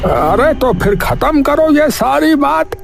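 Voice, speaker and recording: A man's voice: very high-pitched, sing-song, takes it slowly, gravelly, heavily acted. A man playing an evasive, blustering village headman in a Hindi cartoon story, hemming and stalling as he talks. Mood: defensive, insistent. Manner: hemming and hesitant, with stalling filler sounds. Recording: music under the voice.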